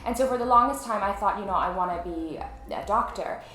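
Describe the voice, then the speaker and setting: A woman's voice: mid-range voice, a little fast, a loud crowd. Reflective woman guest in an echoey room. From Iran. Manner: reflective, many "you know" and "um" fillers. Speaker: guest journalist. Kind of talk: casual interview conversation. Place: home flat, indoors. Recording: echoey room with faint noise.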